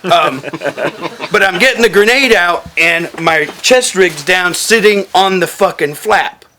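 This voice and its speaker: A man telling casual war stories as a military veteran, animated and swearing freely, acting out quoted dialogue. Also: sits high, a little slow, a little hiss or hum. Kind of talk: casual storytelling, profane.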